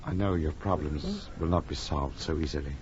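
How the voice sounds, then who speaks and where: A man acting a rational, concerned friend in 1940s radio drama, earnest and theatrical, moving between hushed and urgent tones. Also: very deep voice, moderate pace, over loud music. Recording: dull, noisy vintage radio recording.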